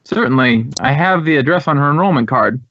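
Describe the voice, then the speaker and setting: A man's voice: medium pitch, expressive, a little fast, a bit bright, no echo, lightly acted. An American man playing an earnest, talkative drama school head in a radio drama, speaking with emphatic, reflective phrasing. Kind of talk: 1950s radio drama dialogue. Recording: dry, dull vintage radio recording.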